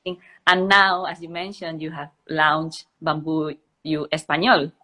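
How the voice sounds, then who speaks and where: A woman's voice: low voice, little pitch movement, normal speed, pushed and bright. A woman hosting an interview, asking questions and encouraging her guests with frequent short replies. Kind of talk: casual interview talk.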